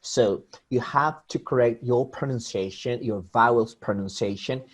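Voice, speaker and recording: A man's voice: mid-range voice, unhurried. Spanish-accented male English teacher, explanatory classroom delivery, talks directly to students. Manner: explanatory, addresses students directly, many restarts. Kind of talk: online class lecture.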